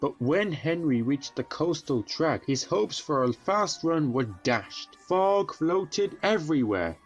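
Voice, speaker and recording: A man's voice: on the high side, animated, takes it slowly, highs cut off, faint music behind. A man narrating a children's railway cartoon as a scripted storybook tale.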